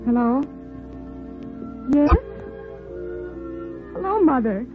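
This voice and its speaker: A woman playing an apologetic, confused American woman in a 1940s radio drama, soft and earnest. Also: high voice, animated, drawn-out words, over music, exaggerated voice. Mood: tearful relief. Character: apologetic, confused woman found after going missing. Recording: muffled old radio recording.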